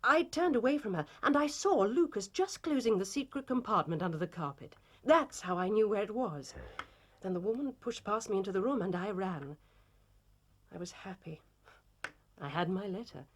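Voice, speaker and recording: A woman's voice: mid-range voice, lively intonation, normal speed, lightly acted. An actress playing an anguished, proud titled Victorian lady in a period drama, formal and emotionally intense. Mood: tense recounting.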